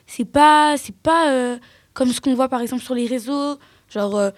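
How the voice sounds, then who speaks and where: Outspoken teenage girl, bright voice. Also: high-pitched, moderate pace, no room sound. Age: teens. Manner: blunt, outspoken, trailing off quietly. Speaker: teen guest. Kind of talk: casual discussion.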